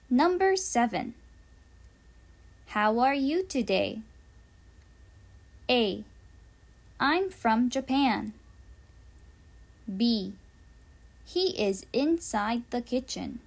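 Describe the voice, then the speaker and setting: A woman reading an English listening quiz for learners, carefully enunciated, with pauses between the answer choices. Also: a little high. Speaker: English teacher.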